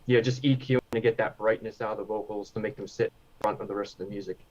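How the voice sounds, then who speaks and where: A man speaking as a guest on a casual panel, relaxed and unhurried, thinking aloud as he talks. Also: on the low side, muffled recording, slight background noise.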